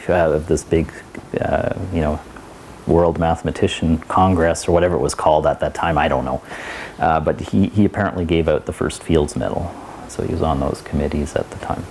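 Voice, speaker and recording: Deep-voiced male university lecturer. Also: mellow, a little hiss or hum. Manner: digressive, many restarts and asides. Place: lecture hall.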